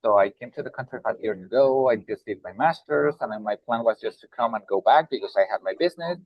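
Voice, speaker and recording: A man from Mexico with a Mexican Spanish accent, a nonprofit director talking in a roundtable, halting with many fillers and restarts, heard over a video call. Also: medium pitch, lively intonation, no room sound, muffled recording. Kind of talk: roundtable discussion.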